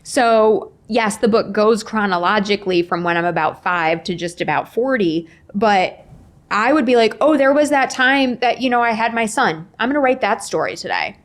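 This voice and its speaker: A woman in her forties chats as a guest author on a podcast. She is frank and blunt, telling things in a conversational, anecdotal way with frequent "like" and quoted asides, at a mid-range pitch. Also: expressive, normal speed. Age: 40s. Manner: candid, blunt, peppers talk with "like" and acted-out quotes. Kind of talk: casual podcast interview, anecdotal chat.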